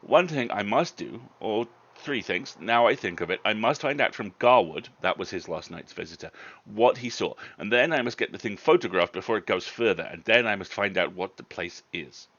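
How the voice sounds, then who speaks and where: An urgent, precise university don in a haunted storybook tale, acted out by a male reader. He probes with excitement in a gravelly voice. The livestream sound is a little dull. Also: lowish voice, normal speed. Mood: brisk, excited. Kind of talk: dramatic storybook reading.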